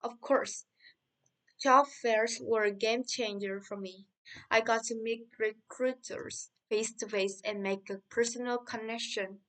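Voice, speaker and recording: A woman's voice: a little high, fairly even intonation, measured pace, fairly bright tone, far from the mic. A woman from Mexico playing a confident, eager young tech worker, a guest in a scripted interview for English learners.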